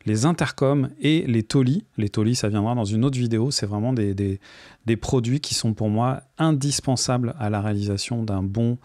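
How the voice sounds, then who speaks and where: A man's voice: medium pitch, moderate pace, mellow, no room sound. Casual French-speaking man reviewing gear, dry sound. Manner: informal, colloquial, enthusiastic. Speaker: video creator reviewing gear. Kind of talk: casual product review to camera.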